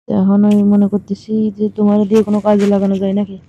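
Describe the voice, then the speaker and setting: A woman's voice: mid-range voice, monotone, fast, dark tone, slight background noise. A woman in a casual, teasing, colloquial phone chat. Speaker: caller in a staged phone conversation. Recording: muffled lo-fi phone call recording.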